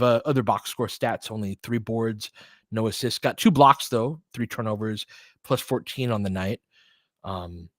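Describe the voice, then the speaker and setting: A man's voice: medium pitch, no echo. Man calling basketball, informal and partisan, dry close sound. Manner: partisan, informal, excitable on big plays. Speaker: host doing fan play-by-play. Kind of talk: live basketball commentary and analysis.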